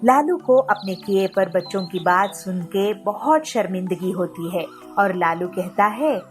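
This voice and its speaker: A woman's voice: mid-range voice, animated, unhurried, faint music behind. A woman narrating a scripted children's cartoon story.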